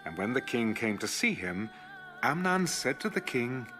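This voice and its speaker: A man's voice: medium pitch, very animated, moderate pace, bright, forceful tone, soft background music. A man giving a dramatic scripted narration of scripture for an audio Bible.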